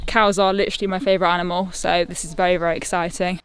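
Chatty vlogging outdoors. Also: female voice, lowish voice, little pitch movement, fast. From Nottingham, England. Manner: enthusiastic, chatty. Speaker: travel vlogger. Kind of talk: casual walking vlog to camera. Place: outdoors in open countryside.

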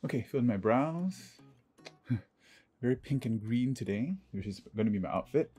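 A man with a husky voice, a drag queen giving chatty, casual vlog commentary and often checking in with "okay". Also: mid-range voice, lively intonation, moderate pace, warm tone, soft background music. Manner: chatty, punctuates points with "okay".

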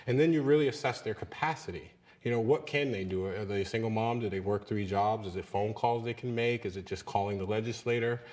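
Man, 30s, lowish voice, earnest union leader, TV studio interview, lapel mic. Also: steady tone, brisk, few pauses, darkish tone. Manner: earnest, repeats words when emphasizing. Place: small TV studio.

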